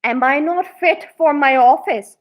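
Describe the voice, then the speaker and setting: A woman's voice: sits high, unhurried, dull audio. A woman voicing a timid, honest old minister in dismay for a children's storybook. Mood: anxious, bewildered.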